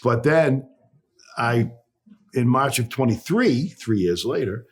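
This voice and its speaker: Middle-aged boston guy, kinda husky, chatty storyteller on an interview. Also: medium pitch, lively intonation, slow. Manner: chatty, tells stories with quoted dialogue. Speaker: guest, company phone coach.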